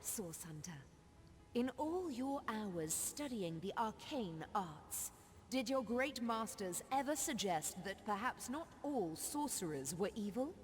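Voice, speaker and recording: An actress playing a proud, sorrowful forest witch in a fantasy video game, telling her tale in measured, formal speech. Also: mid-range voice, sing-song, background noise. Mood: bitter, reproachful. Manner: measured, solemn, formal storytelling. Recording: long reverb on game dialogue.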